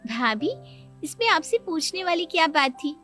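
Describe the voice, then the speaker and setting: A woman's voice: very high-pitched, very animated, normal speed, pushed and bright, faint music behind, voice pushed up, exaggerated voice. A woman voicing a plaintive, protesting young sister-in-law in a cartoon moral story. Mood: earnest. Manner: protesting, earnest.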